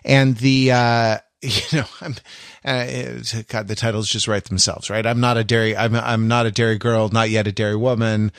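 A man on a podcast, thinking aloud with frequent "um"s and restarts before landing a point. His voice sits at a middle pitch, and the recording is dry and close with no room sound. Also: level tone, fairly bright tone, clean tone, no echo. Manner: halting, many fillers and restarts. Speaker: podcast co-host. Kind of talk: casual podcast discussion.

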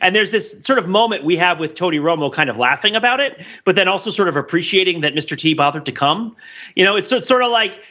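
Male podcast host, rambling and very animated, bright husky voice, slightly dull recording. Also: on the high side. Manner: rambling, tags sentences with "right?". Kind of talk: animated conversational commentary. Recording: dull, muffled lo-fi sound.

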